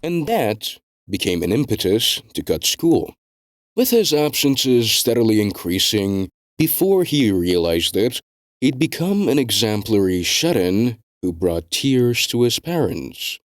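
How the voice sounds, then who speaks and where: A man reading scripted story narration in a measured, deliberate storytelling delivery. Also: medium pitch, very animated, no room sound, clean studio sound. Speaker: narrator of a web novel fandub.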